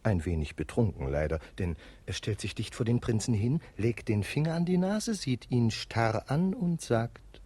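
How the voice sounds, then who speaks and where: A man's voice: mid-range voice, lively intonation, moderate pace, hardly any pauses, mellow, slightly rough. A male narrator of a 1950s radio play, talking to the listeners in a wry, conversational way.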